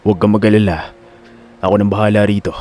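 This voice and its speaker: A man playing a calm, serious male confidant in a Filipino audio drama, speaking in a measured, reassuring way. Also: fairly low pitch, faint music behind, pitched below the performer's own voice, natural voice. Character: Rocky, calm serious confidant guarding an old don.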